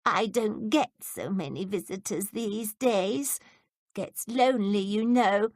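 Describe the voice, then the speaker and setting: A woman voicing a frail, forgetful old woman with a quavery, sing-song voice who rambles slowly. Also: mid-range voice, darkish tone, no echo, clean studio sound, pitched above the performer's own voice. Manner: rambling, slow.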